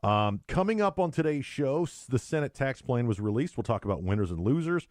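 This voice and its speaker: A middle-aged man co-hosting a podcast in casual, joking, sarcastic chat, telling stories with animated delivery. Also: mid-range voice, a little fast, dry recording, clean studio sound. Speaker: podcast co-host.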